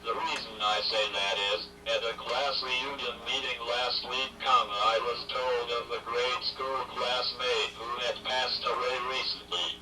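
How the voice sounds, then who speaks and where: A man's voice: mid-range voice, steady tone, unhurried, few pauses, far from the mic, background noise. A man speaking through a computer speech synthesizer, giving an acceptance speech with dry, self-mocking humor. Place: ceremony hall.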